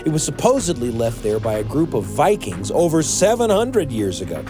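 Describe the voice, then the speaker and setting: A man's voice: medium pitch, sing-song, moderate pace, over music. A man hosting a family audio drama podcast with a scripted introduction. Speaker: podcast host.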